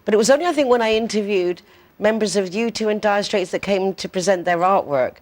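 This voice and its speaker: Gushing lady host on a tv chat show, mid pitch. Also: a little fast, a little mellow. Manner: effusive, enthusiastic. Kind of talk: casual interview chat.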